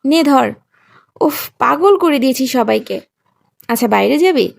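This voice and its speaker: A woman's voice: high voice, lively intonation, unhurried, vocal fry, exaggerated voice. A woman voicing a casual, chummy young male bandmate in a story, talking in an offhand way. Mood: casual teasing.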